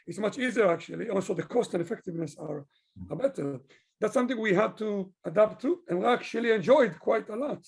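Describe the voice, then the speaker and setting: A man from Israel with a husky, gravelly voice, talking at length and explaining his work as a consultant in a conversational interview. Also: sits high, darkish tone. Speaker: business owner, digital consultant being interviewed.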